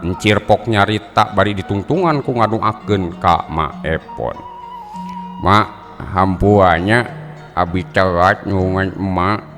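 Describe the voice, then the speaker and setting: A man telling a traditional folk tale in a measured, dramatic way, with folk music playing behind. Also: fairly low pitch. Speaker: storyteller. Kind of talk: traditional storytelling narration.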